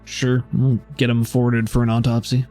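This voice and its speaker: A man's voice: medium pitch, monotone, normal speed, dark tone, faint music behind, lightly acted. A man playing a practical, slightly unsure regulator in a tabletop game, speaking casually and hesitantly. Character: matter-of-fact law regulator, unhurried, mildly unsure.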